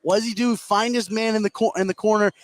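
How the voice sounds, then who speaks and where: A man's voice: sits high, steady tone, fast. A man giving conversational color commentary on a live basketball game. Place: courtside in a gymnasium. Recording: gym crowd noise behind voice. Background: game crowd.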